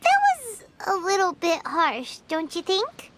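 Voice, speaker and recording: Squeaky, childlike fairy companion. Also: female voice, wildly swooping pitch, measured pace, fairly bright tone, heavily acted. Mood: questioning, mildly scolding. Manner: inquisitive, emphatic. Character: small childlike floating fairy companion, curious and outspoken. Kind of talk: video game voice acting.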